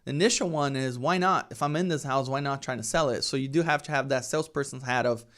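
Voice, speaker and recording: A man's voice: medium pitch, fast, a bit bright. A man in his thirties from Brazil with a Brazilian Portuguese accent, chatting casually as an interview guest and often ending points with "right?". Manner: conversational, tags statements with "right?". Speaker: real estate team leader, guest.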